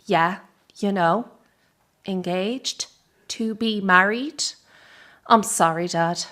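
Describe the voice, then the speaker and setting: A woman acts a young Irish woman from a circus family in a radio play. She has a mid-pitched voice and talks as a caring granddaughter who can be blunt. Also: takes it slowly, fairly bright tone. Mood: hesitant. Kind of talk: radio drama acting.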